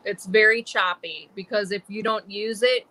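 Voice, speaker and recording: A man's voice: sits high, fairly even intonation, normal speed, pushed and bright. A man whose first language is Arabic, co-hosting a casual vlog, reading out live comments with short upbeat reactions. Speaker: channel co-host.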